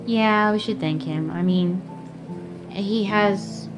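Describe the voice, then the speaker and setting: A woman giving casual, expressive gameplay commentary, reacting aloud and talking to the characters. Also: medium pitch, slow pace, low-quality recording. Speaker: video game streamer. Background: game music.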